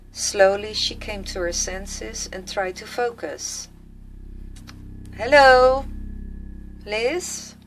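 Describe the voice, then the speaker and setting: A woman's voice: mid-range voice, sharp tone, a little hiss or hum, low-quality recording. A woman reading scripted dramatic narration for a fantasy thriller radio play. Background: sound effects and ambience.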